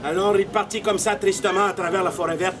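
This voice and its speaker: A man's voice: sits high, steady tone, fast talker. A middle-aged man tells a comic story as a street puppeteer, projecting theatrically with mock drama and playful asides. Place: outdoors in a street against a stone wall. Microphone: camera at a few metres. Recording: outdoor camera recording with audience noise. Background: loud crowd and audience laughter.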